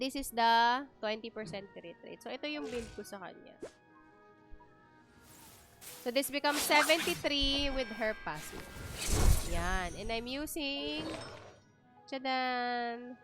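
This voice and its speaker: High, bright Filipino woman streaming games. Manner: chatty, explanatory, switches between two languages. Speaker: game streamer. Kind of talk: casual livestream game commentary.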